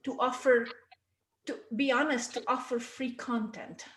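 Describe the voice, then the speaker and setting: Woman, Hungarian accent, slightly high voice, chatty workshop presenter, echoey room. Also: steady tone, measured pace, fairly bright tone, slight background noise. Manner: chatty, checks in with "okay?" and "right?". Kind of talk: informal online teaching talk.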